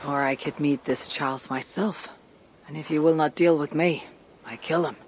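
Low, husky, stoic Viking woman leader played by an actress. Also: fairly even intonation, normal speed, slight background noise, close to an everyday voice. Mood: resolute. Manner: terse, commanding. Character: stoic, hardened female Viking clan leader. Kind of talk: video game cutscene acting.

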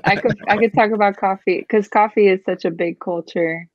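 A woman's voice: medium pitch, steady tone, fairly quick, a crowd in the background. A woman in her thirties from Miami, chatting casually as a guest on a video-call interview, with easy laughs and lots of "like" and "um". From Miami, Florida. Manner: chatty, laughs easily, many "like" and "um" fillers. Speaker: guest photographer. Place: home room with a chair. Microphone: webcam on a video call. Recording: dull, slightly lo-fi video-call audio.

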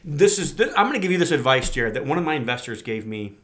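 A man's voice: mid-range voice, fast. A man in his forties co-hosting a podcast in casual banter, playful and teasing, on a desk mic in a small office studio. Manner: playful, teasing, animated with hand gestures. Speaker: podcast co-host. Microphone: desk microphone.

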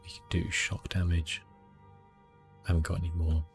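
A man giving soft, hushed ASMR gameplay commentary in a relaxed, unhurried manner. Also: low-pitched, soft background music. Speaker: gaming streamer, host.

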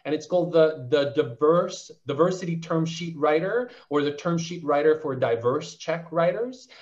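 A man's voice: a little high, animated, moderate pace, a little mellow, lo-fi sound. A man on a panel discussion explaining his views as a venture investor, articulate but full of restarts and fillers.

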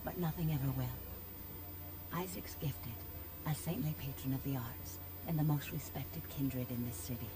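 An actress playing a seductive, languid female vampire in a video game, with a sultry, breathy, drawn-out delivery. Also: rumbling low, noisy background. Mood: adoring. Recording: game dialogue with music clearly behind.

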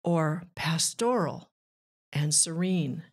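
A woman reading a rhyming children's book aloud with measured, deliberate phrasing. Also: low-pitched, expressive, breathy edge. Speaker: children's author and narrator.

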